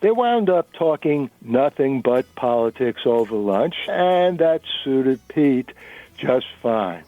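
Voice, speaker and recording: A man's voice: medium pitch, very animated, slow, no room sound, narrow, tinny audio, faint music behind. A man narrating a dramatic reading of fiction. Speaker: narrator reading a novel chapter.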